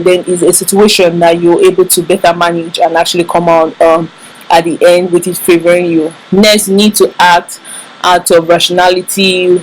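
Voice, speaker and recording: A woman's voice: on the low side, a little mellow, poor audio. A woman from Nigeria with a Nigerian English accent, giving relationship advice to camera in an earnest, emphatic way. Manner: earnest, emphatic, repeats words for stress. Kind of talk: casual advice talk to camera.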